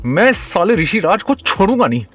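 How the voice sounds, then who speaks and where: Man acting hot-headed, panicky young guy, swooping high voice. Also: very animated, moderate pace, faint music behind, heavily acted. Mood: exasperated, angry. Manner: loud, exasperated, crude. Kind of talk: Hindi audio comedy drama.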